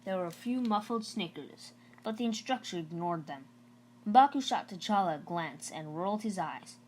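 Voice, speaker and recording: Low-pitched woman reading a story aloud. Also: expressive, normal speed, rough recording. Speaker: read-aloud narrator. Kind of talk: storybook narration of a children's novel, read aloud.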